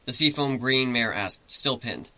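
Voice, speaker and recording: A man's voice: medium pitch, animated, a little slow, bright, forceful tone, no room sound, low-quality recording. A man reading a story aloud as its narrator, in a dramatic reading style.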